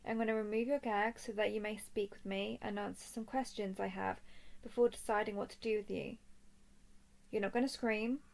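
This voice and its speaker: A woman playing a watchful, confident dragon-clan scout in a scripted roleplay audio drama, with measured, expressive delivery. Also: medium pitch, vocal fry, close to an everyday voice. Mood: smug, commanding. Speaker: voice performer.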